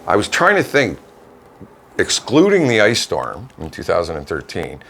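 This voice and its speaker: A middle-aged man with a Canadian accent and a low-pitched voice. He talks casually as a co-host, rambling and colloquial, restarting his thoughts. A little noise is audible behind him. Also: wildly swooping pitch, normal speed, creaky, a bit bright. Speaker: local online radio co-host. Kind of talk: casual co-hosted chat. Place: home studio.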